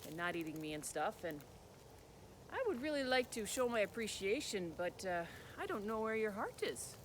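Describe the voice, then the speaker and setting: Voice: mid-range voice, sing-song, moderate pace, slight background noise, lightly acted. A storyteller voicing a nervous, eager young boy who serves a troll, in a raised, fawning voice.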